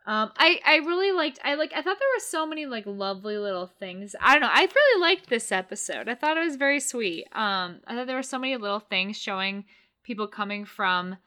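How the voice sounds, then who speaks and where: A woman's voice: fairly high pitch, lively intonation, fairly quick, bright, forceful tone. A woman co-hosting a podcast, gushing about a TV episode in casual chat, with lots of restarts. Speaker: podcast co-host.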